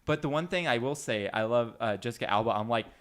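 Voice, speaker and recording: A man hosting a casual group podcast, chatting in an animated, jokey way. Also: medium pitch, quick pace, clean tone. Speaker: podcast host.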